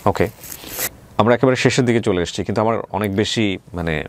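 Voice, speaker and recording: A man's voice: fairly low pitch, a little fast, slight background noise. A man with a Bangladeshi accent hosting a radio interview, earnest and probing, explaining at length and mixing in English words. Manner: mixes English words into his speech. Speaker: radio show host.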